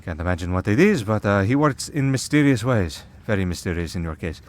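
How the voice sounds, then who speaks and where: A man's voice: on the low side, animated, brisk, natural voice. A man playing a centuries-old vampire priest in an audio roleplay, dry, sardonic and protective. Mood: patient, wry.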